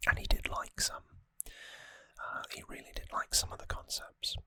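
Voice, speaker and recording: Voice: unhurried, pushed and bright, dry recording. Close, dry ASMR whisper. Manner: musing, conversational trivia talk. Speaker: ASMR video host. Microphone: close microphone, dry sound.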